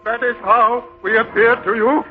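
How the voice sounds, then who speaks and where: An actor in a 1930s radio drama playing a cunning male beggar leader who poses as a god, in a commanding, measured way. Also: extremely high pitch, flat delivery. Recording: muffled 1930s radio broadcast with music.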